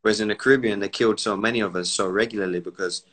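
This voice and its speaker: A man's voice: lowish voice, steady tone, racing, a bit bright, slightly rough. A man from Barbados, raised in the UK, talking emphatically in a casual live video chat, lecturing at length and acting out quoted voices on a phone at home. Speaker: entrepreneur and commentator. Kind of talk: casual live-stream conversation. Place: indoors at home. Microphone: phone on a live video call.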